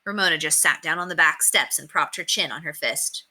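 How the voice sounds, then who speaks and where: A woman reading a children's story aloud with expressive, dramatic emphasis. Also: sits low, fairly quick.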